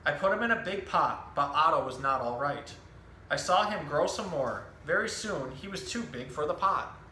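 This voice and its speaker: Guy doing kids animal storytime, bright higher voice, roomy echo. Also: animated, normal speed. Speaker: zookeeper and storytime host. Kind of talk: casual animal education talk and children's picture book reading. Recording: roomy echo with some background noise.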